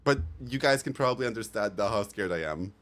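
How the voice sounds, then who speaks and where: Low-voiced man chatting on stream. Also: quick pace. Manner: animated, swears freely, laughs often. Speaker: live streamer. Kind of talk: casual chat with live viewers.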